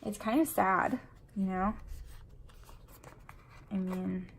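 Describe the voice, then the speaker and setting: A woman's voice: mid-range voice, takes it slowly, creaky, slight background noise. A sniffly woman with a runny nose, chatting in a rambling way while she decorates her planner at home. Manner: rambling and chatty, full of fillers. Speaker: planner vlogger and mom.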